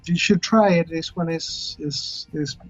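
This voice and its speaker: A man from Chile, a chef talking casually as a guest and often pausing to search for words. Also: fairly high pitch, soft background music. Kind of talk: casual interview chat. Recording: dull lo-fi recording.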